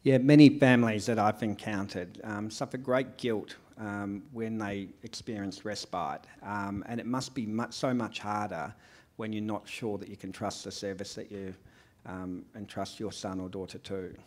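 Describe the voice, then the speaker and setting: Low-voiced male panel facilitator. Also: steady tone, normal speed, darkish tone. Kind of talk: moderating a panel.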